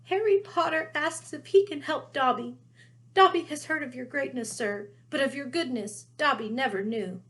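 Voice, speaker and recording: A woman voicing a small, servile, fearful house elf with a squeaky, pleading voice in a storybook reading. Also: moderate pace, fairly bright tone. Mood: reverent. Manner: pleading, refers to self in third person.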